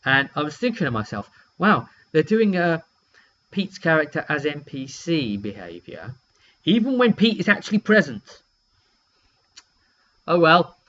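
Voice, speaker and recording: A British man casually telling a story in an animated, rambling way, drifting into asides as he goes. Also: on the high side, unhurried, long pauses. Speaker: tabletop game player recounting a session. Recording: muffled lo-fi audio with hum.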